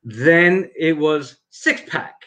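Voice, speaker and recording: A man's voice: a little high, drawn-out words, pushed and bright. A man hosting a casual livestream interview, talking loosely and repeating words as he thinks. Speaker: host.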